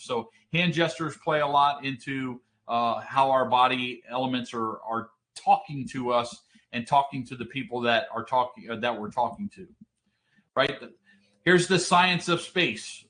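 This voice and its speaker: A man with a deep, commanding voice teaching a webinar, explaining points steadily and often checking in with a quick "right?". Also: pushed and bright. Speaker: trainer. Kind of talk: webinar lecture.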